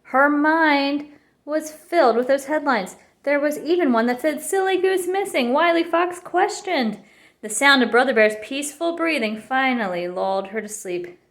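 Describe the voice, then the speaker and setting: A woman reading a children's picture book aloud with expressive narration. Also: high voice, normal speed. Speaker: storybook reader.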